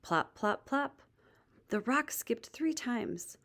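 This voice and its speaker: A woman reading a children's book aloud as expressive scripted narration. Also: fairly high pitch, slow pace. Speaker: storybook reader.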